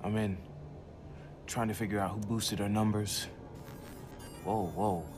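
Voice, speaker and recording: A man's voice: lowish voice, flat delivery, measured pace, over music, lightly acted. A man playing a young, loyal hacker in a video game, with a casual, natural, urgent way of talking. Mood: guarded. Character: young male hacker, loyal, street-smart, supportive. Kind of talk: naturalistic game cutscene acting.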